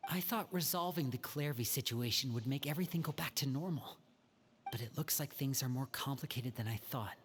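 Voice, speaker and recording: An actor playing a calm young man who leads his siblings in a video game, speaking in a measured, explanatory way. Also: medium pitch, a little hiss or hum, lightly acted. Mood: steady. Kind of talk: video game character dialogue.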